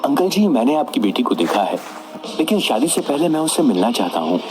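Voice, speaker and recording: A man plays a young village vegetable seller in a horror story. He is polite and sweet-talking, with animated intonation and loud music behind him. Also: medium pitch, brisk, natural voice. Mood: polite, earnest.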